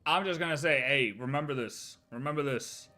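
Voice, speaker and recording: A man's voice: medium pitch, fairly quick, sharp tone, soft background music. A man chatting casually as a game livestreamer, emphatic and slangy, often repeating his phrases.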